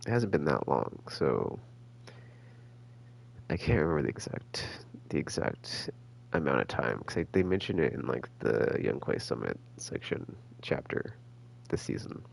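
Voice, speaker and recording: A man giving casual, rambling game commentary as a streamer, halting and slangy as he thinks out loud. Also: very deep voice, sing-song, creaky. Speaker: gaming streamer.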